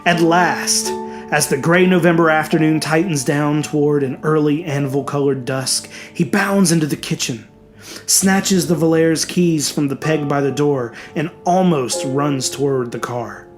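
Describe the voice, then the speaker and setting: A man's voice: on the high side, unhurried. A man reading a scripted horror story as a dramatic narrator. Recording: music behind the voice. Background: music.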